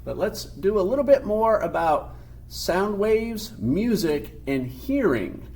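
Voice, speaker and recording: A male science teacher with a deep, husky voice lectures through a lesson. He explains each term patiently, one step at a time. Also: sing-song, slow pace, a little mellow. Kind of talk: recorded classroom lecture.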